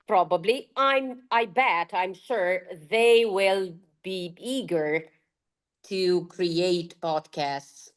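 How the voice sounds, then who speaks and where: A woman teacher talks slowly on an online panel about using podcasts in class. She hesitates and restarts her sentences often. She speaks over a video call. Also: medium pitch. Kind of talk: panel discussion answer.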